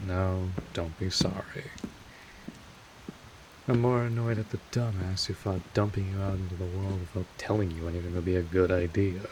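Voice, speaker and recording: A man playing a gruff but caring vampire mentor in an audio roleplay, explaining things in an informal, rambling, mildly exasperated way. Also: low voice, background noise, lightly acted. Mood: exasperated, protective. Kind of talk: scripted audio roleplay addressed to the listener.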